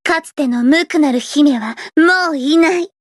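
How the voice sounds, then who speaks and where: A woman's voice: high voice, measured pace, no echo, studio quality. A woman voicing a theatrical gothic teenage idol girl from an anime game, with grandiose, dramatic delivery and a shy, sweet side. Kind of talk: anime game character voice lines.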